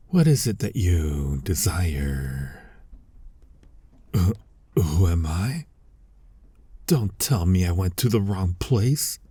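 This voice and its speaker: A theatrical, flirty male demon, acted by a man for an ASMR audio roleplay. His voice is low, dark and very husky, close to a whisper at times. He teases and scolds with dry, sardonic dominance, right up at the microphone. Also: dry recording, heavily acted. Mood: theatrical, seductive, then embarrassed, wry. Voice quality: breathy, husky, often slipping into whispers. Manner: slow, teasing, sardonic delivery. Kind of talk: intimate ASMR roleplay acting. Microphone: close, dry studio-style microphone.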